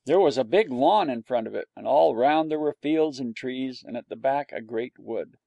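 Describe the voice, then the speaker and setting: Guy reading a storybook, wry, gravelly, dry close sound. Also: mid-range voice, animated, moderate pace, dark tone, dry recording. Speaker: audiobook narrator.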